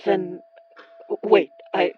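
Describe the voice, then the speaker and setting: A woman's voice: mid-range voice, flat delivery, drawn-out words, slightly rough, highs cut off, soft background music, heavily acted. A woman playing a blunt, determined young summoner in an audio horror drama, speaking directly and intensely. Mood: urgent, resolute.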